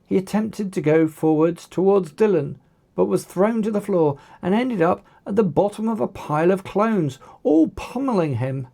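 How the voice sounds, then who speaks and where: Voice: medium pitch, animated, moderate pace, creaky, warm tone. An author reading a novel aloud as scripted, dramatic audiobook narration.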